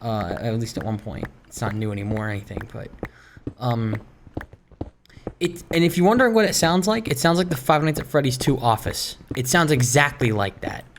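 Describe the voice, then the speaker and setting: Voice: medium pitch, very animated, moderate pace. A gaming streamer chatting casually and hesitantly in a wry, rambling way over gameplay, recorded in a room at home. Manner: rambling, hesitant, self-interrupting. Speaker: gaming video creator and streamer. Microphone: streaming microphone. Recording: home microphone with clear background noise.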